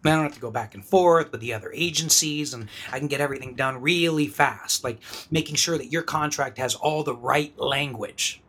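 Man playing a husky, flattering talent agent. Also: mid-range voice, normal speed, hardly any pauses, natural voice. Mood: ingratiating. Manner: eager, ingratiating. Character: smooth-talking, flattering, scheming young male talent agent. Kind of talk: audio comedy acting.

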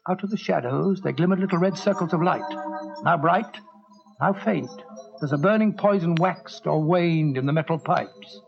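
An earnest, upright doctor tells the story in a dramatic, measured way. His voice sits high for a man, and the recording is dull, like an old radio broadcast. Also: vocal fry, music in the background. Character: earnest male doctor narrator, upright and indignant. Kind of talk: 1940s radio acting, first-person narration.

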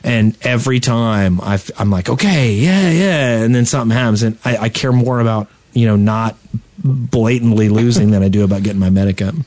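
A man's voice: fairly low pitch, fairly quick, a little mellow, no room sound. A man co-hosting a casual gaming podcast, telling stories in an exasperated way and acting out quotes. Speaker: podcast co-host.

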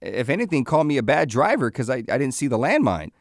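A man with a gravelly voice speaking frankly and wryly in an interview, a little halting. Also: mid-range voice, big pitch swings, no echo, clean studio sound. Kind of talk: candid interview talk.

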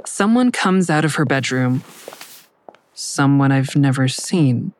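A woman's voice: extremely low pitch, lively intonation, slow, darkish tone, full character voice. A woman playing a troubled, defiant suspect who narrates her own story in an audio drama, pointed and anxious. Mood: guarded narrating. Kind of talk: first-person audio drama narration and dialogue. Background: music.

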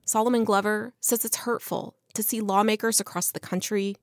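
A woman's voice: medium pitch, fairly even intonation, normal speed, dry recording, studio quality. A woman reporter reading a scripted radio news feature.

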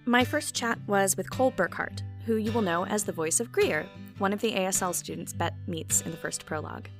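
A woman hosting a casual podcast interview over a video call, enthusiastic and quick to laugh. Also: medium pitch, music in the background. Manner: warm, enthusiastic, laughs often. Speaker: podcast host and audio drama creator.

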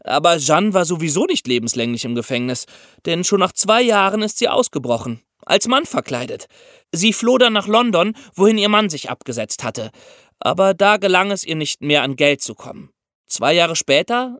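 Animated man telling history stories. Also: fairly high pitch, moderate pace, no echo, studio quality. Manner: conversational, expressive storyteller. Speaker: podcast host. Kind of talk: lively storytelling of history for young listeners.

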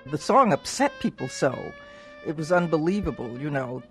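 A woman's voice: deep voice, sing-song, normal speed, fairly bright tone, faint music behind. A woman talking conversationally as an interviewed cultural consultant.

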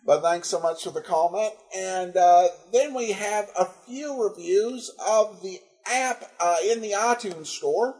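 A man hosting a podcast, speaking informally in a halting, hesitant way as he reads and answers listener feedback. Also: sits high, darkish tone, poor audio. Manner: halting, hesitant, breaks up phrases. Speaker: podcast host.

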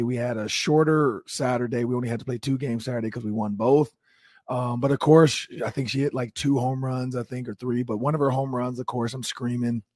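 A man hosting a sports talk show, his voice hoarse from shouting, talking energetically. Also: medium pitch, no echo, studio quality. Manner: animated, energetic opinions. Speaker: host.

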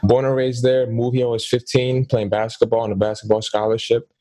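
A man from Suriname whose native language is Dutch, chatting in a relaxed way as a podcast guest. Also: medium pitch, a little fast. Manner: relaxed, laughs along. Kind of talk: casual interview chat.

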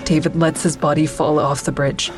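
A woman's voice: low voice, flat delivery, moderate pace, close to an everyday voice. An actress playing a bitter, defiant woman who narrates a confrontation with her former partner in an audio drama. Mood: grave, reflective. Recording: music behind the voice.

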